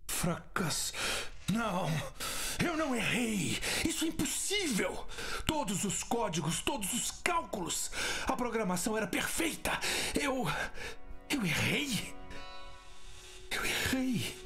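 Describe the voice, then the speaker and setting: An actor playing a tormented, frantic inventor in a Brazilian audio drama, theatrical and grandiose. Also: male voice, sits high, very animated, slow pace, pauses often, bright, forceful tone, faint music behind, exaggerated voice. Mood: frantic despair. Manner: theatrical, anguished.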